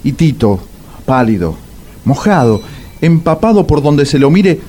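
A man's voice: fairly high pitch, big pitch swings, measured pace, warm tone. An actor playing a wry male storyteller with an Argentine accent, mock-solemn in a comic radio drama. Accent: Argentine Rioplatense. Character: wry male storyteller and awkward bar date. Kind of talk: dramatic scripted narration and radio comedy.

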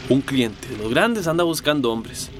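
Expressive, persuasive shady middleman. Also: mid-range voice, unhurried, music in the background. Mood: businesslike. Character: smooth-talking, persuasive middleman for smuggling clients. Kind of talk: radio drama acting.

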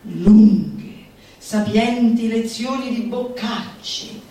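Echoey, high-pitched theatrical woman reading live. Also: very slow, over loud music. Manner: teasing, mock-schoolteacher, declamatory. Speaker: stage performer reading a literary text. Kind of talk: theatrical reading with playful audience banter. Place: live performance room with audience. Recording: echoey hall, distant mic, audience present.

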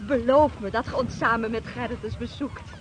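A woman's voice: on the high side, steady tone, moderate pace, background noise, close to an everyday voice. A woman playing a melancholy sailor's wife whose eyes are failing. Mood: wistful. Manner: melancholy, gentle. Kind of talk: radio drama acting.

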